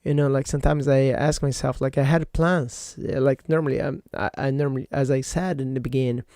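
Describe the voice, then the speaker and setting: A man whose first language is Portuguese talks alone into a computer microphone for his podcast. His voice sits at an ordinary pitch with a dark, mellow tone, and the sound is close and dry. He rambles, corrects himself and stacks up fillers as he goes. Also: fairly even intonation, brisk, no room sound. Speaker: podcast host. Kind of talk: casual solo podcast monologue.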